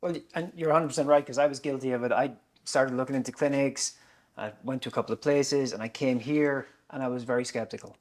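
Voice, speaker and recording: A man's voice: medium pitch, fairly even intonation, fast talker. A man hosting a podcast, talking casually and chattily, with lots of "like.". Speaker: podcast host. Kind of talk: podcast conversation.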